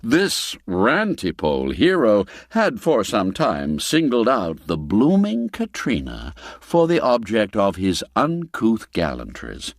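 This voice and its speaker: A man's voice: lowish voice, dry recording, studio quality. A man narrating a classic tale in a theatrical, dramatic manner. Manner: theatrical, dramatic swings in emphasis. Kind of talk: scripted storybook narration.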